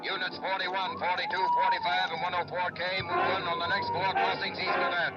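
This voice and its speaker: An actor playing a brisk, formal male police dispatcher, calling units procedurally in a 1950s radio drama. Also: high voice, level tone, close to an everyday voice. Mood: brisk, official. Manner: procedural, clearly enumerated calls. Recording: muffled old radio recording, loud music.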